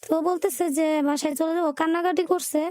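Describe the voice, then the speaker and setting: A woman with a Bangladeshi Bengali accent telling her life story in an interview, in a colloquial, halting way with repeated filler words. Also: very high-pitched, fairly even intonation, darkish tone, no room sound, studio quality. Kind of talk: personal storytelling in a radio interview.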